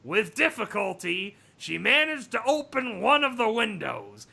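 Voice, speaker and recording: A man putting on a raspy, strained, grating comic narrator voice to read a story in a mock-dramatic way. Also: high voice, very animated, measured pace, pushed and bright, full character voice. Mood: strained, exaggerated. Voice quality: raspy, gravelly. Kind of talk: mock-dramatic reading of fan fiction.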